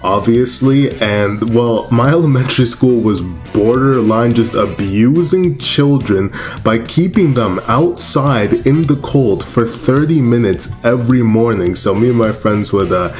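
A seventeen-year-old young man from Canada with a deep voice, chatting casually to his viewers and laughing at himself. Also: unhurried, a little mellow, faint music behind. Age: teens. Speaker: YouTuber.

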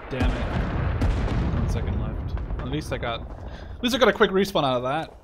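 Brief: young male gamer, mid-pitched, casual and profane, game gunfire heard clearly behind. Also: big pitch swings, moderate pace, noisy background. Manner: casual, swearing, bursts of alarmed exclamations. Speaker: gaming channel host. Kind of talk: casual gameplay commentary. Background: loud game gunfire and artillery.

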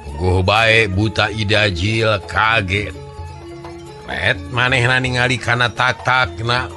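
A male storyteller with a Sundanese accent narrates a folk tale in dramatic oral style. His voice is fairly low and his pace slow, with faint music underneath. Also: steady tone.

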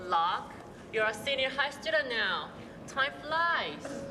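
A woman's voice: high voice, very animated, full character voice. Teen girl doing a grateful sick uncle, taiwanese accent, school play, echoey auditorium. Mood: warm. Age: teens. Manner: earnest, halting English lines. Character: ailing middle-aged man from abroad, grateful. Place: large school auditorium stage. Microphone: far stage mics. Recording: echoey hall with music behind.

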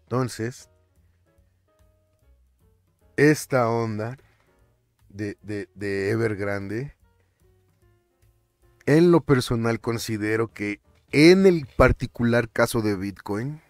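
A man's voice: medium pitch, slow, pauses often, dry recording, clean studio sound, soft background music. Chatty Mexican man on a finance vlog. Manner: animated, chatty, stumbles and repeats words, slips in English terms. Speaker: finance vlogger. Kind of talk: casual commentary over screen captures.

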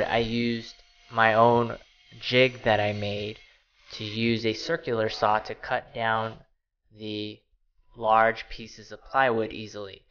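A man in his thirties hosting a home DIY channel, explaining a woodworking build in a relaxed, casual way with frequent fillers. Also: medium pitch, dull audio. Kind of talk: casual how-to narration. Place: small home laundry room, then voice-over over garage workshop footage. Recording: voice over clear background music. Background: background music.

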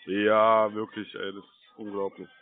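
A man's voice: lowish voice, completely flat delivery, unhurried, dry recording. A man working as a call-centre caller, chatting casually in an easygoing, hesitant way. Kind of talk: casual phone chat. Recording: narrow thin phone line.

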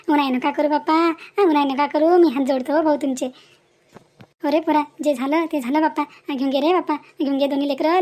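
A woman's voice: high-pitched, darkish tone, natural voice. A woman voicing a pleading, scolding motherly village neighbour in a cartoon drama, insistent and repetitive, with a rural Maharashtrian Vidarbha accent. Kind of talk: Marathi cartoon moral drama dialogue.

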